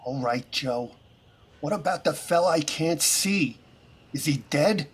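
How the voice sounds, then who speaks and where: Man acting a husky, simple-minded war veteran. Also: a little high, lively intonation. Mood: childlike, devoted. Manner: childlike, slow-witted, eager to please. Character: simple-minded, obedient shell-shocked war veteran, gangster's brother. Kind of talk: 1930s radio crime drama acting.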